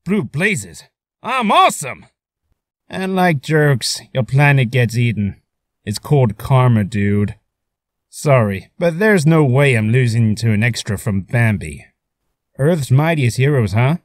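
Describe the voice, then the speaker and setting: A man's voice: medium pitch, big pitch swings, slow pace, no echo. A man voicing a cocky young superhero with breezy, joking taunts for a voice reel. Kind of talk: character voice reel.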